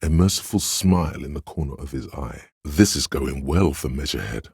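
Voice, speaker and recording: A very deep, heavily rasping inner voice from a narrative video game. It coaxes and advises with measured, deliberate drama, intimate and insinuating, in a dry, close sound. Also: male voice, lively intonation, darkish tone, no room sound, exaggerated voice. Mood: observant, measured. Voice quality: deep, gravelly, husky. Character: smooth, insinuating inner voice of social intuition, coaxing, intimate. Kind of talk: scripted game narration as an inner voice giving social advice.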